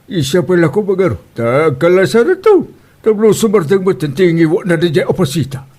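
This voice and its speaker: A man's voice: high voice, sing-song, normal speed, darkish tone. A man playing a good-natured Filipino village father in a comedy drama, talking in a colloquial, exclaiming way. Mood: amused. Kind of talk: Ilocano comedy radio drama acting.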